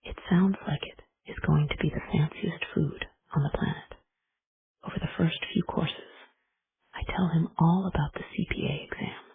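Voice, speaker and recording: A woman narrating a first-person romance audiobook in a hushed, intimate whisper. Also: deep voice, steady tone, normal speed, breathy edge. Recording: tinny phone-like recording.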